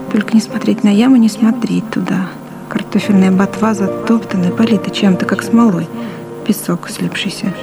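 A woman's voice: medium pitch, lightly acted. A woman playing a terrified pregnant village mother, dazed with dread, in an audio drama monologue. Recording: music behind the voice.

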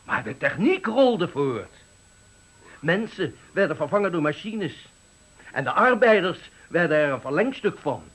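Husky, impassioned old factory worker. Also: male voice, on the high side, big pitch swings, fairly bright tone, exaggerated voice. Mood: indignant, impassioned. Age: 60s. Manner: oratorical, dramatic, deliberate phrasing. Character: embittered eloquent 65-year-old male factory worker at his retirement. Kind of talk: radio play monologue, rhetorical farewell speech.

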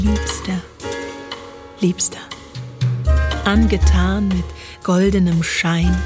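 A woman performing a literary spoken-word piece in a measured, poetic delivery. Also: fairly low pitch. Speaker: poetry performer. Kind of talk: literary spoken-word reading over music.

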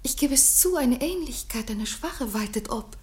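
An actress playing a lovestruck young bride in a German radio drama, with swooning, ornate declamation. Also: female voice, on the high side, animated, moderate pace, darkish tone, slightly rough. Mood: yearning, rapt. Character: lovestruck, rapturous daughter, a sentimental bride.

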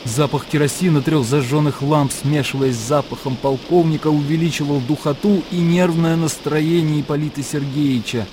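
A man narrating a literary radio drama in measured, expressive storytelling. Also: fairly high pitch, background noise. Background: music.

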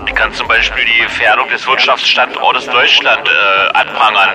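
Male, casual mock-serious radio banter, medium pitch, music clearly behind. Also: steady tone, normal speed, rough recording. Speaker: radio talk show participant. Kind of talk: improvised comic banter on a radio show. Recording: radio broadcast with music underneath.

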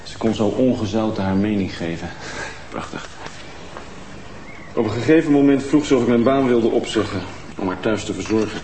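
A man's voice: fairly low pitch, lively intonation, moderate pace, a little mellow, close to an everyday voice. An actor playing the younger husband of a murdered old woman, a former nurse, answering in a guarded, defensive way. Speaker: widower of the victim. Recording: TV sound with room echo and background noise.

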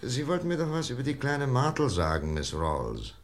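An actor playing a calm, probing FBI inspector in a radio crime drama, matter-of-fact, patient and insistent. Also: male voice, medium pitch, normal speed, creaky.

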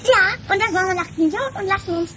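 A woman's voice: extremely high pitch, quick pace, bright, forceful tone. A woman playing a naive, stubborn, romantic young daughter in an improvised comedy radio play, childlike and whiny. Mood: eager, pouting. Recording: noisy lo-fi 1980s amateur radio recording.

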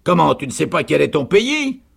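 A man's voice: medium pitch, very animated, moderate pace, creaky, breathy edge, voice pushed up, exaggerated voice. A man playing a blunt, insistent sergeant of a revolutionary army who barks questions in a dramatic literary reading. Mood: indignant, insistent.

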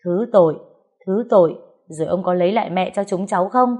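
A woman's voice: mid-range voice, steady tone, measured pace, warm tone, pitched above the performer's own voice, natural voice. A woman voicing a grief-stricken young daughter who cries and pleads. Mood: pleading. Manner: crying, pleading. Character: desperate grieving teenage girl.

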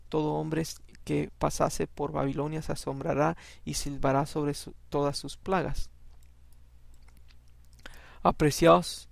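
A man hosting an informal Bible podcast, talking in a halting, conversational way with many restarts and then reading scripture aloud. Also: fairly high pitch, little pitch movement, creaky, no room sound. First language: Spanish.

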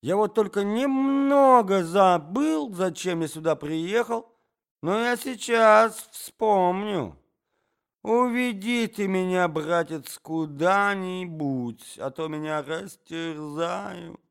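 A man's voice: high-pitched, slow pace, creaky, smooth, pitched above the performer's own voice, heavily acted. A man voicing a frail, forgetful old prince who talks in a muddled, bewildered way.

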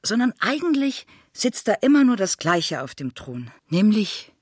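A woman playing a restless, self-doubting performer who muses aloud in a halting, ironic, conversational radio monologue. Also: medium pitch, sing-song, breathy edge, no room sound, studio quality. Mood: ironic, puzzled. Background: music.

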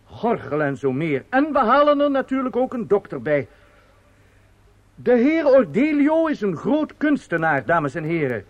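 A man's voice: sits high, very animated, a little slow, no echo, dull audio. An actor in a radio drama playing a flustered, self-satisfied male opera impresario, muttering and hesitant or pompously speechifying.

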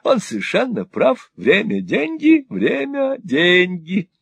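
A cackling, fawning old moneylender. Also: male voice, high voice, wildly swooping pitch, unhurried, creaky, slightly rough, no room sound, voice pushed up, heavily acted. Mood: gushing. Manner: wheedling, gushing exclamations. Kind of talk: audiobook reading.

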